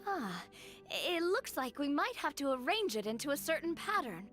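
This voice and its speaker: A woman's voice: high voice, very animated, normal speed, a bit bright, soft background music. A woman voicing a cheerful, spirited young woman adventurer who talks in an upbeat, eager way.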